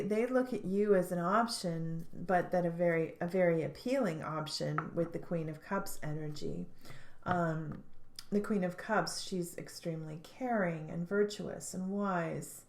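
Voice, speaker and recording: A woman giving a tarot reading, sounding stuffed up, speaking thoughtfully with frequent pauses and restarts. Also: lowish voice, darkish tone, echoey room, slight background noise. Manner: thoughtful, hesitant, many fillers and restarts. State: stuffed up, congested. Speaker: tarot reader.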